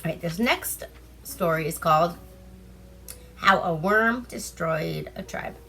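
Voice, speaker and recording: A woman's voice: on the low side, takes it slowly, vocal fry, sharp tone, slight background noise. A woman from Alaska telling folk tales in a read-aloud style, with an irreverent, chatty manner. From Seward Peninsula, Alaska. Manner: irreverent, chatty, breaks off to comment. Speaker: storyteller reading bedtime stories. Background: music.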